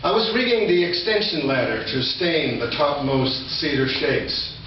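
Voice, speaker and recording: A man's voice: fairly high pitch, very animated, a little slow. An older man speaking as a poet at a live reading of his work. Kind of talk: poetry reading with informal spoken introduction. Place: indoor reading venue, recorded from a distance. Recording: echoey room, distant mic, dull, noisy.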